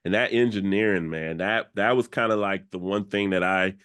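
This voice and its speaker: A young adult man hosts a podcast with upbeat, exclamatory enthusiasm. His voice sits on the low side and is recorded dry, with no room sound. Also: normal speed, a bit bright, smooth, no room sound. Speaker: podcast host. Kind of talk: casual interview chat.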